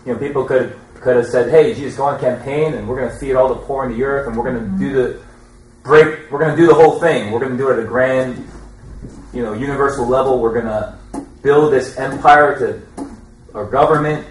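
A man's voice: mid-range voice, moderate pace. A man in a teaching session explaining his thoughts casually and colloquially, recorded at a distance indoors. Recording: distant, echoey room with faint noise.

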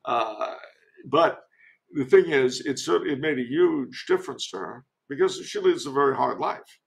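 Older man with a heavily creaking voice. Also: medium pitch, lively intonation, measured pace. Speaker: podcast guest. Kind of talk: conversational commentary with anecdotes.

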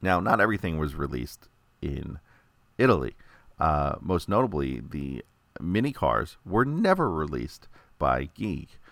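A man's voice: extremely low pitch, sing-song, a little slow, gravelly, a bit bright, no room sound. A man from the United States chatting casually through an informational monologue, with many filler words and light jokes. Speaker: toy collector presenting a video.

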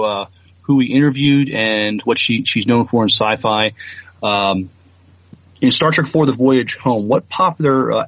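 A man's voice: medium pitch, no room sound. A man co-hosting a podcast in casual chat and reading news, hesitant and full of fillers. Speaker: podcast co-host. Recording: dry, dull lo-fi mic.

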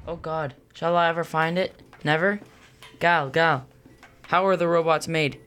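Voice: medium pitch, fairly even intonation, measured pace, fairly bright tone, natural voice. A young actor playing a despairing, devout human builder in a radio play, lamenting. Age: teenager or young adult. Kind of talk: youth radio theatre acting.

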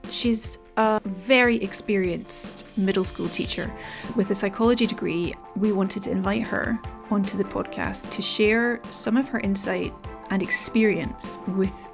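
A woman from Scotland hosting a podcast, talking in a chatty, rambling way with plenty of fillers and restarts. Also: mid-range voice. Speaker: podcast host. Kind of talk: casual podcast conversation.

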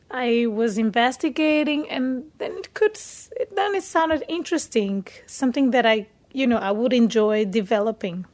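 A young woman from Portugal with a Portuguese accent, a native Portuguese speaker telling her story in an interview, often pausing to search for words in English. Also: a little high, darkish tone. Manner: hesitant, searching for words in second-language English. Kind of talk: casual personal storytelling in an interview.

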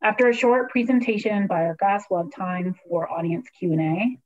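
Woman host, book club Q&A, halting with ums, video-call audio slightly dull. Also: mid-range voice, darkish tone. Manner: hesitant, many fillers. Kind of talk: online author interview.

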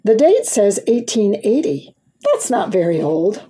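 Friendly small-town girl, read by a woman. Helpful, polite, mellow storybook voice, slightly husky. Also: medium pitch, very animated, measured pace.

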